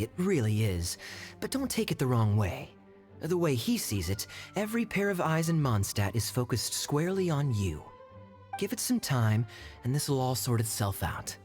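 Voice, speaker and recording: A man voicing a suave, teasing knight captain in a video game, smooth-talking, sly and playful. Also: mid-range voice, lightly acted.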